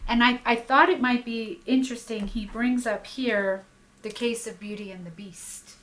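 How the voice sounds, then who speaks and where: A woman's voice: a little high, fairly even intonation, moderate pace, fairly bright tone, slight background noise, low-quality recording. A woman hosting a conversational podcast discussion, speaking in an engaged, guiding manner.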